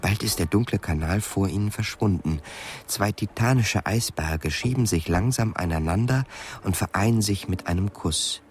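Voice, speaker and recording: A man's voice: fairly low pitch, moderate pace. A man narrating a radio play in scripted, dramatic literary prose.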